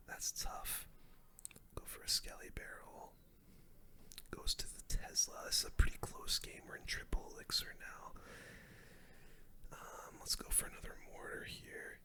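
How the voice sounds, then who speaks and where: A person giving whispered ASMR commentary on a game, calm and breathy, muttering a running play-by-play close to the microphone. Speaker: gaming streamer.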